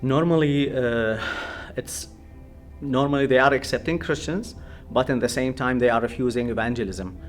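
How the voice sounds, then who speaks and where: A man from Iraq with an Iraqi accent, a pastor giving personal testimony in a halting way, searching for words. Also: medium pitch, faint music behind.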